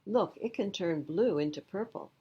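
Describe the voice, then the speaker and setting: Storybook schoolgirl artist, played by a woman: confident, upbeat, emphatic, a little husky. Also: mid-range voice, expressive, moderate pace, muffled recording. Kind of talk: storybook character reading.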